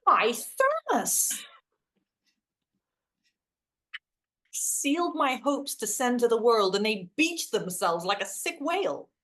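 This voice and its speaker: An actress playing an awkward, literal-minded young woman in a play reading, a hopeless romantic with a hesitant, wry deadpan manner. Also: fairly high pitch, measured pace. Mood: incredulous. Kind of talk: two-hander stage play reading.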